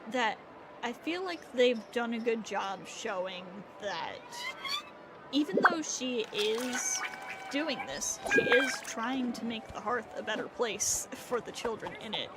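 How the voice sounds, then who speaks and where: Slow-talking woman streamer, lively pitch. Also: very noisy. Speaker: game livestreamer. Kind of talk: casual livestream chat and game commentary. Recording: loud game music behind. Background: loud game music.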